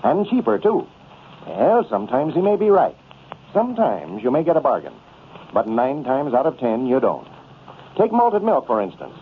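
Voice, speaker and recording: An animated male announcer in the 1930s radio manner. His pitch moves freely as he delivers scripted copy. The recording is tinny and noisy, like an old broadcast.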